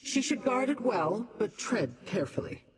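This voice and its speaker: A woman voicing a haughty immortal demon in a fantasy video game, condescending, imperious and formal in her deliberate delivery. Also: a little high, big pitch swings, a little hiss or hum, rough recording. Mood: grave, knowing. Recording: music behind the voice.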